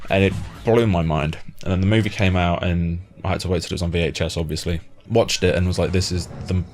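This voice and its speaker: British male host, 30s, lowish voice, relaxed informal chat style, slight music bed behind. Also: fast talker. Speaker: interviewer, host. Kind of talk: casual chat.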